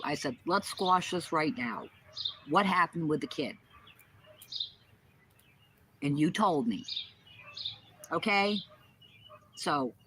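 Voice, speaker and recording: A woman's voice: lowish voice, sharp tone. A woman speaking bluntly and confrontationally in a casual, argumentative chat. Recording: noisy, with birdsong chirping behind.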